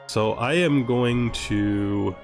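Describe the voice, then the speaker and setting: A man with an average-pitched voice talks through a game as he plays. He thinks aloud in a casual way and sometimes reads out on-screen text. Music plays faintly behind him. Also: very slow. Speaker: gameplay streamer.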